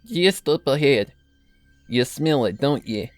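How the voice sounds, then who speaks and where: A man's voice: mid-range voice, animated, slow, dry recording, soft background music. A man voicing an elderly country granny pony from a cartoon fan story, with a folksy rural Southern drawl. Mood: grim, knowing. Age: older. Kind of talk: fan fiction character reading.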